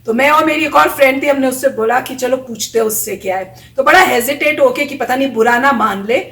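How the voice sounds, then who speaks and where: High-voiced woman telling a story. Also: a little fast, a bit bright, far from the mic. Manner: chatty, tells anecdotes with quoted voices. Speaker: vlogger reacting to a video. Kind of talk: casual storytelling chat. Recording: echoey room, voice a little off mic.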